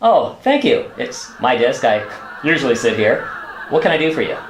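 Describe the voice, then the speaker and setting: An actor playing a hesitant, exasperated government office worker and husband in a sitcom-style radio play, with a wry manner. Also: male voice, mid-range voice, big pitch swings, normal speed, noisy background. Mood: uneasy, reluctant. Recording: roomy, echoey sound.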